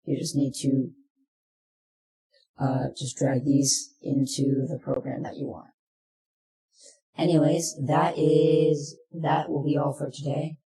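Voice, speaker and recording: Very deep female-sounding voice, dark tone, unhurried, monotone, tutorial narration, echoey room. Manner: plain step-by-step instructing. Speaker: tutorial presenter.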